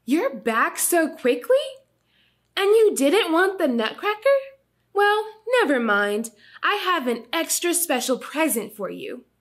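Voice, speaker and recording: A woman voicing a kind, generous little girl in a storybook, with a gentle, cheerful manner. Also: high-pitched, sing-song, slow pace, voice pushed up, exaggerated voice. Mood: kindly.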